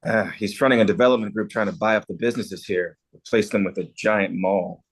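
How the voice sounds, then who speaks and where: A man playing a helpful, hesitant small-town guy with a husky voice in a drama table read. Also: on the low side, fairly quick. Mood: concerned, helpful.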